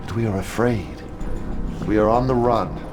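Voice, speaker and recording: An actor playing a charismatic, scheming messianic cult leader in a video game, speaking in measured, theatrical oratory. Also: male voice, lowish voice, close to an everyday voice. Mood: commanding, confident. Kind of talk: video game cutscene acting. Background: loud dramatic music.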